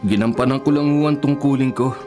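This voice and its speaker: A man's voice: mid-range voice, brisk, dark tone, close to an everyday voice. An actor playing a fearless, boastful policeman in a Filipino radio drama, a defiant man. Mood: fearless resolve. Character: brash, fearless, defiant male police officer. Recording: dramatic music under the voice.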